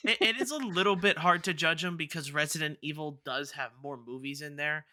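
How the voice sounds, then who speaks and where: Male co-host, strikingly bright voice, dry close sound, casual stop-and-start podcast chat. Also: a little high, a little fast, dry recording.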